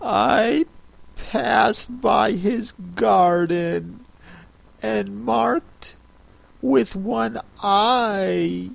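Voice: mid-range voice, vocal fry, no room sound, voice pushed up, low-quality recording. A reader voicing timid young girl Alice. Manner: hesitant and trembling.

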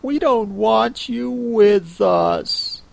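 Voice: mid-range voice, very slow, vocal fry, a little mellow, no echo, pitched above the performer's own voice, exaggerated voice. A reader voicing a timid, polite and thoughtful young girl in a storybook, hesitant and full of wonder. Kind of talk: storybook character reading.

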